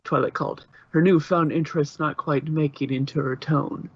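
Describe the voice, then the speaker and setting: A man's voice: fairly high pitch, fairly even intonation, normal speed, breathy edge, lo-fi sound. A man reading scripted story narration, as the narrator of an amateur audio drama.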